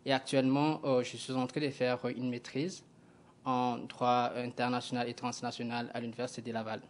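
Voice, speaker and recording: A young man answers questions as a TV studio guest. His delivery stays flat and even, and he pauses often with filler sounds while searching for words. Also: mid-range voice. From Kinshasa, DR Congo. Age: young adult. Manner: hesitant, many filler sounds. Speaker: guest, graduate student. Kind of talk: interview answers.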